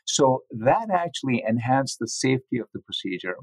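A man giving measured, methodical explanations as an interviewed medical researcher. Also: medium pitch, big pitch swings, no room sound, rough recording.

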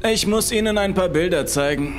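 A man's voice: high voice, moderate pace, slight background noise, voice pushed up, natural voice. A man voicing a brash, teasing male scientist on a sea expedition in a horror audiobook. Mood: intrigued. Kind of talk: horror audiobook character dialogue. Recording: music behind the voice.

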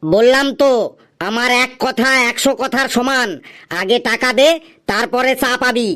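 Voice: mid-range voice, steady tone, unhurried, a bit bright, close to an everyday voice. An actor playing a grumpy, stubborn tea stall keeper in a comedy cartoon, scolding and insistent. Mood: exasperated, stubborn.

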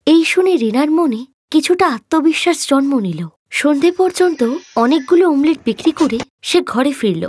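A woman narrating an animated moral tale in a scripted storytelling style. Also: sits high, normal speed, slight background noise.

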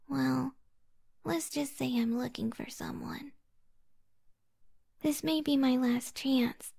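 Creepy-sweet ghost-obsessed young woman voiced by an actress for ASMR roleplay: high, husky whisper, slow and coaxing. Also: a little mellow, heavily acted. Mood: eerie, teasing. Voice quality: breathy, raspy whisper.

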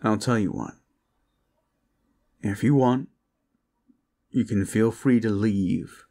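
A man performing an intimate audio roleplay as a protector, speaking in a reassuring, courteous, measured way. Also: fairly low pitch, darkish tone. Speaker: roleplay voice actor, playing a gentle protector.

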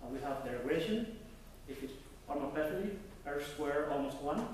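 A young man giving an academic conference presentation, halting and searching for words, often restarting his sentences. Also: a little high, animated, a little mellow. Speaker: conference speaker. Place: conference hall. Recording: echoey hall from far off with faint noise.

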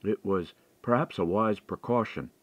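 A man reading a historical mystery as an audiobook, in a measured, expressive storytelling manner. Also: on the low side, dry recording.

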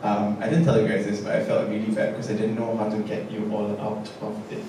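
A man talks slowly and thoughtfully on a theatre stage, full of hesitations and restarts. His voice sits low and reaches the mic from a distance. The big hall rings around him, with a steady noise underneath. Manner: hesitant and reflective, many fillers and restarts. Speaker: theatre director. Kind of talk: post-show panel discussion.

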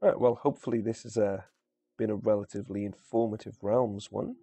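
Guy casually talking thru a game, real crackly fry in his voice, kinda mellow, thinking out loud as he goes. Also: lowish voice, steady tone, brisk. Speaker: gamer presenting a video walkthrough. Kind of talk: casual unscripted game tutorial.

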